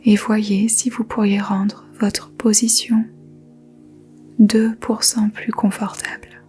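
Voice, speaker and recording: Slow, whispery woman guiding a meditation. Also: medium pitch, little pitch movement, soft background music. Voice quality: soft whispery voice. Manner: slow, gentle, soothing instructions with long pauses. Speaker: yoga nidra teacher. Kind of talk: guided meditation.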